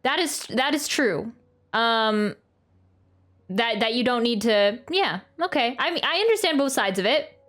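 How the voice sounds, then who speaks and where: A woman livestreamer giving a casual reaction to a video game, chatty and halting as she thinks aloud. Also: fairly high pitch, lively intonation, fairly bright tone. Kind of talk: casual live reaction commentary. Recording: game music under the voice.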